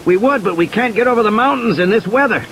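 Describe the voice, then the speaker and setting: Man acting out edgy, high-pitched, urgent boss. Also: animated, fast talker, slight background noise, rough recording. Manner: urgent, shouting, defensive. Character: edgy red-haired man barking urgent orders. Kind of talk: audiobook character acting.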